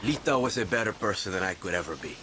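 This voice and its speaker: A man's voice: low voice, lively intonation, quick pace. A man playing a wry, defiant young rebel recruit in a video game, speaking frankly and sardonically. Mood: earnest. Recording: noticeable ambient noise behind.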